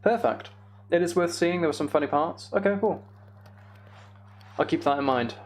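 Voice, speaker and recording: A young man giving casual, meandering gameplay commentary on a live stream, thinking aloud and chatting to viewers, with a creaky voice. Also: a little high, normal speed, slight background noise.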